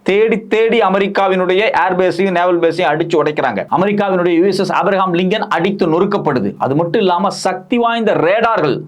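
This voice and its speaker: A man's voice: high voice, a little fast, hardly any pauses. A man with an Indian Tamil accent giving news analysis, explaining emphatically with repeated phrases and rhetorical questions. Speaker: political commentator.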